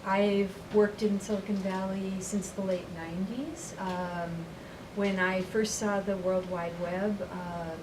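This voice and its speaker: A middle-aged woman answering interview questions thoughtfully, with many ums and slow, halting phrasing. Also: medium pitch, little pitch movement. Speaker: interviewee, company representative. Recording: roomy, distant mic, noisy ambience.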